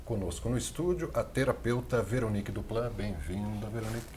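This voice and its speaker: Low-voiced TV host. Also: male voice, a little fast, darkish tone, a little hiss or hum. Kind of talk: studio interview. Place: TV studio.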